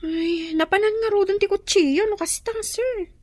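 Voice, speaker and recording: A woman's voice: extremely high pitch, normal speed, a little mellow, no room sound. A woman with a Filipino accent playing an inquisitive young woman in an Ilocano radio drama. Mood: puzzled, anxious.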